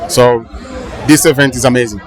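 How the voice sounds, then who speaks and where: A man from Angola, answering interview questions in a halting way, often restarting as he searches for words. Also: mid-range voice, fairly even intonation. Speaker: interviewee, funeral visitor. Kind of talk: casual street interview. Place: outdoors at a crowded palace funeral. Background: crowd murmur.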